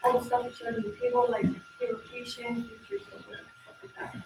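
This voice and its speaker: A woman's voice: on the high side, monotone, fairly quick. A young woman, a student presenter, explaining her team's project and taking questions. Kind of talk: team presentation with audience questions. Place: large echoey indoor room. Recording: echoey room from far off, background noise.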